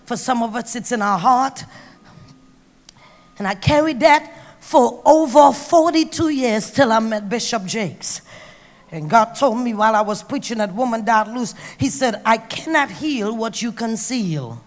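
A middle-aged woman from Trinidad preaching an emphatic sermon on a handheld mic, going from hushed to loud. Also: a little high, animated, unhurried. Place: large banquet hall on stage. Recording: long hall reverb.

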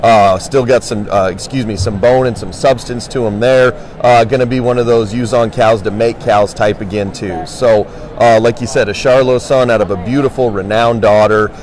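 A man's voice: medium pitch, fairly even intonation, normal speed, hardly any pauses, darkish tone. A man giving casual livestock commentary as a specialist on a panel. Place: indoor convention hall booth.

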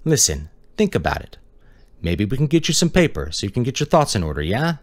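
A man's voice: medium pitch, very animated, fairly quick, voice pushed up. A man voicing a tired young male detective who pushes hard during questioning. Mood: pushy. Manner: pushy, needling.

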